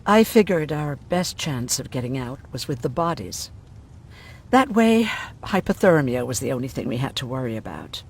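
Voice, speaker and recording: An actress playing a gruff, sardonic, world-weary woman medic who survived a prison camp, in a dramatic video game style. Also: a bass voice, big pitch swings, moderate pace, a little mellow, exaggerated voice. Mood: grim recounting.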